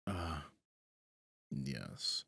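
Man acting a deep, husky, silky devil. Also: big pitch swings, bright, forceful tone, no room sound, clean studio sound, exaggerated voice. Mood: condescending. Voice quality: breathy, husky, often dropping to a whisper. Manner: theatrical, silky, pompous, drawn-out phrasing. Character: smug, possessive male devil, suave and condescending dealmaker. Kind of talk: roleplay audio drama, ASMR-like monologue. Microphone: close, dry studio microphone.

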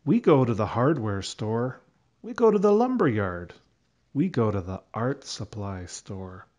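A man narrating a children's storybook aloud in an expressive, deliberate way. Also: lowish voice, muffled recording.

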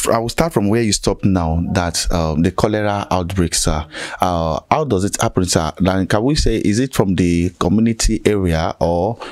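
A man's voice: sits low, a little mellow, no room sound. A Nigerian man in his thirties asking interview questions in a hesitant, deferential manner. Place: small furnished room indoors, on a sofa. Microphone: handheld interview microphone.

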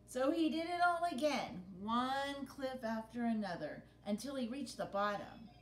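A middle-aged woman reading a picture book aloud to children in an expressive, dramatic storytelling manner. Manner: dramatic, with pauses and gasps for effect. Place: living room by a brick fireplace, indoors. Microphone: camera a short distance away. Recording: roomy home recording with rumbling noise.

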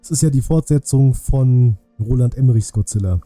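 A man streams a game in a very mellow, dark voice at a middle pitch. He comments casually and thinks out loud, with chatty asides to his viewers. Faint game music plays behind him. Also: normal speed, no room sound. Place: indoor room, streaming setup.

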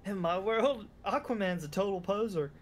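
A man's voice: high voice, lively intonation, normal speed. A man co-streaming, chatting casually as he reacts to game clips.

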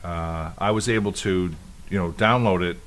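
A man's voice: deep voice, normal speed, fairly bright tone. A man in his fifties co-hosting a casual podcast chat, telling long rambling stories with many restarts. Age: 50s. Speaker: podcast co-host.

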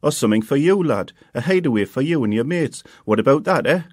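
Narrator as pushy estate agent, grinning sales patter. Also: male voice, mid-range voice, lively intonation, fast, no room sound, clean studio sound, close to an everyday voice. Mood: coaxing.

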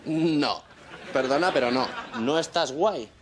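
An actor playing a scheming, money-loving young male neighbour in a slow, clear sitcom for learners. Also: on the high side, some chatter behind, close to an everyday voice. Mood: persuasive.

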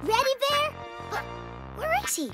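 A performer voicing a cheerful little boy who explores space in a children's cartoon, squealing and giggling with excitement. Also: extremely high pitch, normal speed. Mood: excited. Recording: music playing behind.

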